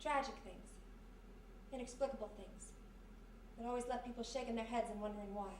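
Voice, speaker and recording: A roomy, far-off live recording of an actress playing a sharp-witted American woman sidekick in an old-time radio mystery. She talks fast and insistently, with stubborn, slangy urgency, over faint noise. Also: a little high, fairly even intonation, lightly acted. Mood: hushed, ominous. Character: quick-thinking, stubborn young woman, detective's sidekick. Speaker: actor. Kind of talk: 1940s-style radio mystery acting, live. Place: live performance in a historic house.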